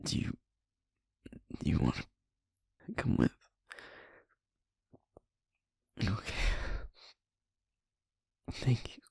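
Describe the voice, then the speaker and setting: A young man playing a sweet, wounded, guilt-ridden werewolf boyfriend in an intimate audio roleplay, speaking in a husky, halting, emotional near-whisper. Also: dry recording, clean studio sound, natural voice. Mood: drained, tender. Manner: halting, emotional, softly pleading.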